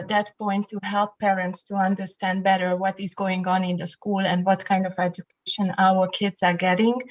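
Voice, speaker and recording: A woman, an election candidate, answering calmly in an online panel debate, pausing often with fillers. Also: mid-range voice. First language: not Finnish. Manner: measured, with many fillers. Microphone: video call. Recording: muffled video call audio.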